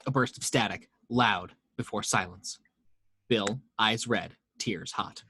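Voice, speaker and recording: A man's voice: fairly low pitch, slow, bright, forceful tone, breathy edge, dry recording. A man reading the action lines of a screenplay aloud at a home table read, steadily, voicing the sound effects. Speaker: narrator reading action description.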